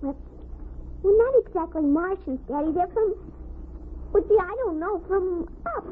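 An actress playing an earnest, excitable little girl in a 1950 radio drama, breathless and insistent, stumbling over big words. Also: very high-pitched, normal speed. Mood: earnest, excited. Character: excitable, earnest little girl obsessed with secret invasion game. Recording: muffled, noisy old radio recording.

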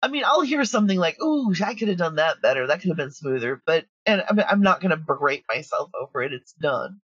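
A woman's voice: low voice, very animated, fairly quick, fairly bright tone, husky, no room sound. Blunt woman, dull lo-fi recording. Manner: blunt, self-assured, talkative. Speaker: guest, audio drama writer and producer. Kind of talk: candid interview conversation.

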